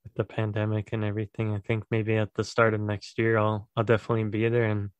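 A man's voice: on the low side, fast, clear, resonant tone, dry recording, lo-fi sound. A young American man hosting a casual podcast over a remote call, asking questions in a loose way full of "like" and "I mean.". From United States. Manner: relaxed, filler-heavy questioning. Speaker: podcast host.

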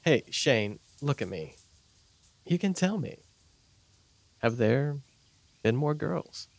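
Guy playing earnest camp church mentor, creaky voice, gentle but preachy, coaxing, audio drama. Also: medium pitch, big pitch swings, slow, full character voice. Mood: gently probing. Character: earnest, reassuring male religious mentor at camp. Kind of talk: naturalistic audio drama acting.

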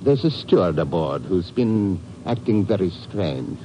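An actor in a 1950s radio drama playing a suave, suspicious Indian police inspector, formal and dryly ironic. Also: male voice, sits low, sing-song, unhurried, dark tone, lo-fi sound. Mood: dry, measured. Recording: faintly noisy old radio recording.

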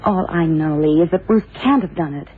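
An actor playing a loyal, plain-spoken investigator in a radio crime drama, clipped and questioning. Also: male voice, fairly high pitch, a little fast, slight background noise, lightly acted. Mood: reporting. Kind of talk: 1960s radio drama acting. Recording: thin, phone-like old radio recording.

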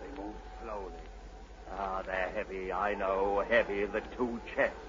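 A man's voice: sits low, steady tone, measured pace, fairly bright tone. An actor playing a mad, obsessed old sea captain and domineering father, in salty archaic seafarer's speech, in an old radio drama. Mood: grimly triumphant. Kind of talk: 1940s-50s radio drama acting. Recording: muffled old radio broadcast, faint noise.

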